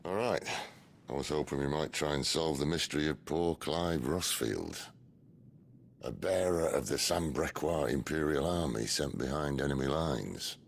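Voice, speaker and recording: An actor playing a worldly, gruff middle-aged outlaw leader in a video game cutscene, speaking in a dry, wry, unhurried way. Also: male voice, a bass voice, exaggerated voice. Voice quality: gravelly. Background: orchestral game score.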